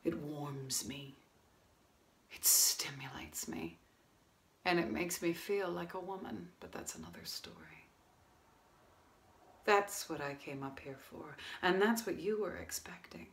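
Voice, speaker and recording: A woman acting a worldly, blunt, cynical seductress in a stage monologue, speaking deliberately and quietly, often near a whisper. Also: expressive. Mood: scornful, sensual. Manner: low-key, deliberate, cutting, often breathy near-whisper.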